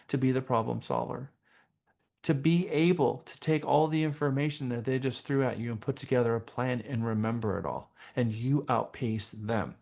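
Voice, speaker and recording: Gravelly-voiced man giving a training seminar. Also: mid-range voice, darkish tone. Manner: deliberate, emphatic, with rhetorical questions. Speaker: real estate trainer teaching a class. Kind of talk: motivational training seminar talk.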